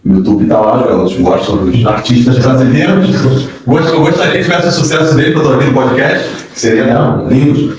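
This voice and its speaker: A man's voice: mid-range voice, fairly quick, crowd noise. A man with a Brazilian Portuguese accent hosting a podcast and chatting in an informal, storytelling way. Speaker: podcast host. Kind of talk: casual interview chat. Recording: echoey room, distant mic.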